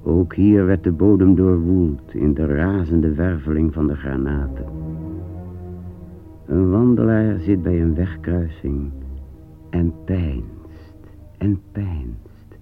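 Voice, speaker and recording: An actor narrating a war radio play in a grave, measured, pensive manner. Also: male voice, sits low. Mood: solemn, pensive. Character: grave male narrator recalling a war front. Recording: tinny old radio play recording, music behind.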